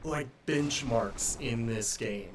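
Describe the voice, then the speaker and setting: Slow-paced, slightly congested male streamer. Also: mid-range voice, slight background noise. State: slightly sniffly, congested from allergies. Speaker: live streamer and host of a game playthrough. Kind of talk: casual rambling livestream chat.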